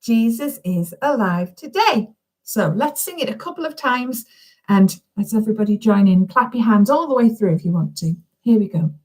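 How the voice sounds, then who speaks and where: A woman's voice: medium pitch, animated, brisk, a little mellow, husky. A woman reading a children's story expressively, speaking directly and encouragingly to young listeners.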